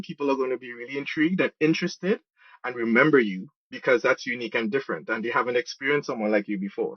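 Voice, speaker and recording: Podcast guest: Trinidadian man, mid-pitched voice, conversational answers, dry but slightly dull recording. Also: breathy edge, dry recording. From Trinidad and Tobago. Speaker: podcast guest, program manager and musician.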